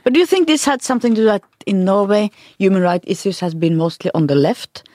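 Guy asking interview questions, kinda halting, hunts for words, dry sound. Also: sits high, darkish tone, no room sound. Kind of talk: political interview.

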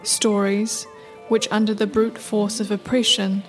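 A woman's voice: medium pitch, flat delivery, a little slow. A woman narrator reading a scripted radio play in a storybook style. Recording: faint music under narration.

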